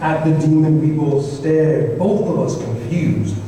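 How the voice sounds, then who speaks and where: A man playing a narrator who recalls a dark Christmas tale from his boyhood, in theatrical rhyming old-time radio style. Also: on the high side, measured pace, close to an everyday voice. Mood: suspenseful. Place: live stage performance in a hall. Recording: very echoey hall, distant mic, clear noise.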